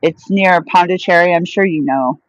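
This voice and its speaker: A woman's voice: on the low side, moderate pace, dry recording. A woman chatting casually on a live stream, answering viewer questions with a rambling, friendly flow. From United States. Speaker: vlogger answering live chat.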